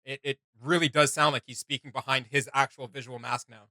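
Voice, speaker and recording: A man's voice: medium pitch, fairly even intonation, brisk, pushed and bright, dry recording, clean studio sound. A man hosting a reaction video, chatting casually with an enthusiastic, rambling, joking manner.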